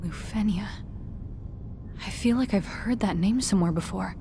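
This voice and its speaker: An actress playing a curious, earnest young adventurer in a video game, expressive and inquisitive. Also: female voice, medium pitch, moderate pace, natural voice. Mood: pensive. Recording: clear ambient noise behind voice.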